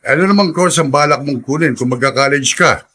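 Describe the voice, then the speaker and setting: A man's voice: on the high side, brisk, sharp tone. An actor playing a kindly, middle-aged gas dealer, fatherly and encouraging, in a radio drama. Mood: warm, encouraging.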